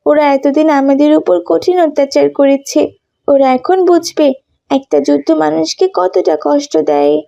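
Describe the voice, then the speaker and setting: A woman's voice: high voice, fairly even intonation, moderate pace, creaky, dark tone, dull audio. A woman voicing a sorrowful, doubting young Palestinian woman in a cartoon, speaking in an earnest, plaintive way. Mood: fervent, prayerful. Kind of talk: cartoon dialogue acting.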